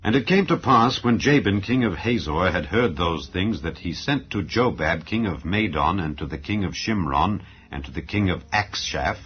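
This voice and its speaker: A man giving a solemn, measured, declamatory dramatized narration of scripture as an audio Bible reader. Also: low voice, lively intonation. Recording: dull lo-fi recording. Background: background music.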